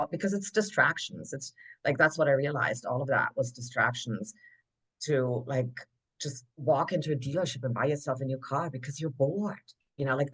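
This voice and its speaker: A woman, a podcast guest telling her story in an animated, emphatic way, full of "like" fillers. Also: very deep voice. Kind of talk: conversational interview storytelling.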